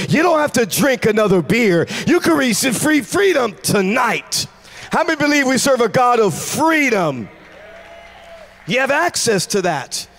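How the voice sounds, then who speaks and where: A man in his forties preaching a sermon in a large church, speaking into a handheld mic with fervent, shouting delivery. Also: high voice. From United States. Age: 40s. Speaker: pastor. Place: large church stage with congregation.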